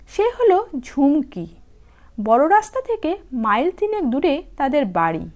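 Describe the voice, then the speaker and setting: Slow, high-pitched woman telling a children's story. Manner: animated, unhurried, dramatic phrasing. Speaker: storyteller, audiobook reader. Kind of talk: expressive children's story narration.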